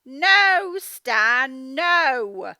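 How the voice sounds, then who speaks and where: Woman acting an exasperated, high-pitched storybook mum. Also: very animated, pushed and bright, dry recording, studio quality, exaggerated voice. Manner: emphatic, drawn-out scolding. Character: exasperated cartoon mum scolding her young son.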